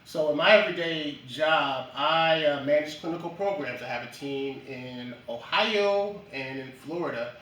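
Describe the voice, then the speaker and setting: A man from Jamaica, a guest in a casual interview, speaking in a measured way with frequent ums and uhs. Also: a little high, fairly bright tone. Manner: measured, many ums and uhs, lists achievements. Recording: echoey room from a distance.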